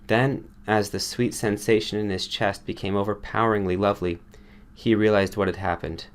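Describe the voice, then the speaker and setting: A man's voice: fairly low pitch, fairly even intonation, normal speed. A man reading a short story aloud as an audiobook narrator, in a scripted storytelling style.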